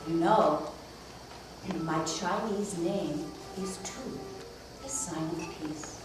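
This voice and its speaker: A woman's voice: lowish voice, slow, loud music behind the voice. A woman voicing an earnest, well-meaning rabbit in an amateur puppet play. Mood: earnest, hopeful. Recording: very echoey, distant, background noise.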